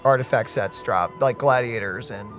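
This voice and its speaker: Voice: medium pitch, normal speed, over music. A gaming streamer in casual reaction chat over gameplay, speaking informally and inquisitively, often repeating words.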